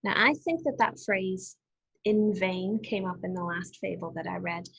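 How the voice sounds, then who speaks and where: A woman with a very husky, breathy voice reads a fable as a teacher would for young children. Her delivery is expressive and deliberate. She explains as she goes and puts questions to the listener. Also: medium pitch, highs cut off.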